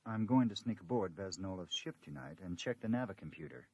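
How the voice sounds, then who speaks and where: A man playing a steady, guarded government councilman in a science fiction audio drama, speaking in a measured way. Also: sits low, a little hiss or hum. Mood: conspiratorial.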